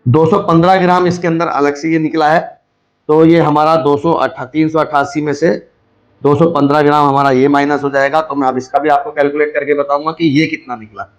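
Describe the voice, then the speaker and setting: A man's voice: on the high side, little pitch movement, fairly quick, poor audio. A man giving a hands-on demonstration, explaining step by step and repeating his points as he instructs. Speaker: video host, scrap recycler.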